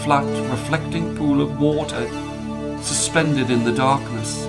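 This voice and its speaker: A man's voice: mid-range voice, steady tone, unhurried. A man narrating a scripted story as a dramatic storyteller. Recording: music playing under the voice.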